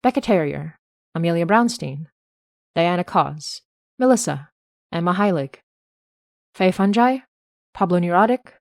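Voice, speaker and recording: A woman delivers a scripted podcast outro with credits and announcements. Her voice is on the lower side, recorded close and very dry. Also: no room sound. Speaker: podcast creator reading credits.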